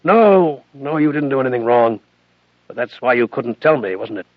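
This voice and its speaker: A man voicing a weary, tender colonel who speaks softly and in a fatherly way, in a dull, lo-fi recording. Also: medium pitch, animated, moderate pace, no room sound, natural voice.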